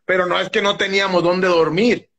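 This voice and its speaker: A man's voice: sits high, steady tone, brisk, fairly bright tone. A man, rambling through a story on a phone video call, stumbling and restarting and swearing freely. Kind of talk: casual chat on a live video call. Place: indoors, sitting on a couch.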